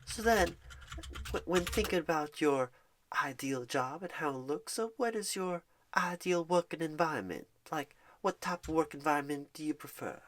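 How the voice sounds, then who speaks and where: A job recruiter in an ASMR roleplay phone screen, folksy and sweet, calling the listener darling and honey and sometimes dropping to soft whispers, with keyboard typing behind. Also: medium pitch, animated, normal speed. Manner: drops to soft whispers at times.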